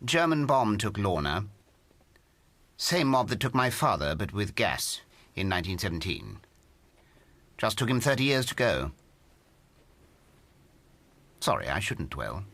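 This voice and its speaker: A man's voice: fairly low pitch, big pitch swings, moderate pace, a bit bright, no echo, heavily acted. An actor playing an impassioned, gallant English amateur astronomer in a radio drama, emphatic and old-fashioned in his speech. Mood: tender, rueful. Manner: old-fashioned upper-class turns of phrase, emphatic.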